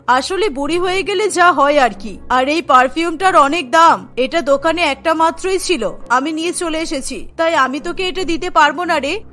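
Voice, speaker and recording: A woman's voice: high-pitched, sharp tone. A woman voicing a vain, touchy middle-aged mother-in-law in a cartoon, boastful and defensive, speaking emphatically. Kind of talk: cartoon voice acting. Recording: music bed under the voice.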